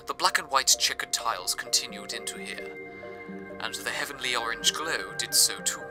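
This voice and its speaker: An earnest radio drama narrator, played by a man speaks as a devoted, awestruck broadcaster. His medium-pitched voice is reverent and descriptive, set over clearly audible music. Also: natural voice. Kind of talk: scripted first-person radio narration.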